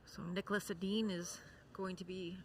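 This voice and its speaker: A man's voice: sits high, level tone, moderate pace, slight background noise. A man giving light, joking color commentary on a curling match. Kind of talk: sports commentary.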